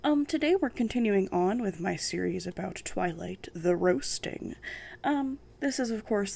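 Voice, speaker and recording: A woman hosting a book channel, reading a novel aloud and commenting on it in a sassy, sarcastic way, her voice croaky from a sinus infection. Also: fairly low pitch, darkish tone. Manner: sniffing and coughing.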